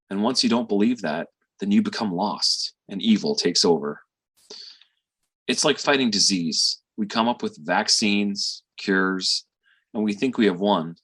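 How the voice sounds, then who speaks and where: Guy playing a tired gentle doctor dad in a play, lowish raspy voice, pauses a lot, reflective. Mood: grave, earnest. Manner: reflective, halting with sighs and pauses. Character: weary, tender middle-aged physician father consoling grown daughter. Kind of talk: dramatic stage monologue.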